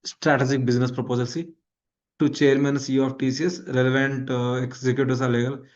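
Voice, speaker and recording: A man's voice: medium pitch, flat delivery, fast talker, darkish tone, dull audio. A man in his thirties with a South Indian accent and Kannada as his native language, teaching an online class with repetition and frequent check-in questions. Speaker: instructor. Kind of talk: live online lecture with screen demonstration.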